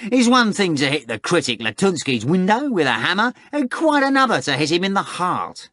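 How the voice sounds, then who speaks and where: A man's voice: high voice, moderate pace, no echo, clean studio sound, voice pushed up, exaggerated voice. Man playing a curt, cold henchman. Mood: blunt. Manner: curt, flat-out blunt. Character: blunt, cold male henchman, matter-of-fact.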